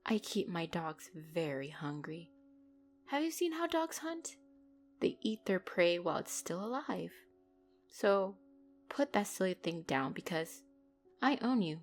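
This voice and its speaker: A woman's voice: lowish voice, very animated, a little slow, soft background music. A woman playing a commanding, possessive female mafia boss in a dramatic audio roleplay, condescending and controlled, lacing mock sweetness with threats. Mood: taunting threat.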